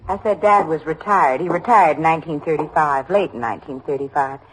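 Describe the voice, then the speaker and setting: An actress playing a chatty, homely middle-aged American widow in a radio drama, rambling on eagerly to please. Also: female voice, deep voice, fairly quick, slight background noise, natural voice, rough recording. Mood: matter-of-fact reminiscing. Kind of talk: old-time American radio drama acting.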